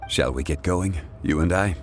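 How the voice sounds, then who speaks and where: A man's voice: low-pitched, normal speed, slight background noise. A man playing a composed, courteous ancient god in human form in a video game, speaking formally and with understatement. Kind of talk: dramatic video game voice acting.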